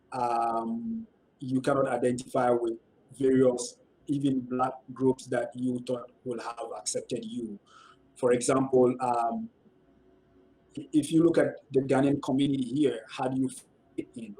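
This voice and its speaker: A man from West Africa with a West African accent, speaking as a panelist in a discussion and pausing often on fillers and restarts. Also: mid-range voice, warm tone, rough recording. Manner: hesitant, many fillers and restarts.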